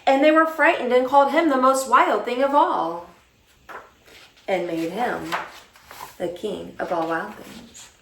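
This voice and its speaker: A woman reading a children's picture book aloud as a storytime teacher, with expressive, dramatic delivery and drawn-out words. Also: a little high. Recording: roomy echo, distant mic, faint noise.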